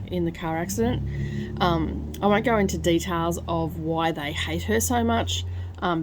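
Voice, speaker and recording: A woman with a croaky voice from crying, talking softly at home in a casual book review vlog. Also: fairly low pitch, a little hiss or hum. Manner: hushed, soft-spoken so as not to wake others. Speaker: book vlogger. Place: at home, early morning.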